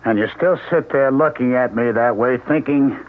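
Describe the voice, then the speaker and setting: A man's voice: mid-range voice, moderate pace, lightly acted. An actor playing a paranoid, bitter businessman in a 1970s radio drama, brooding and accusing. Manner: brooding and accusing, rising to defiant outbursts. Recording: narrow-band old radio broadcast.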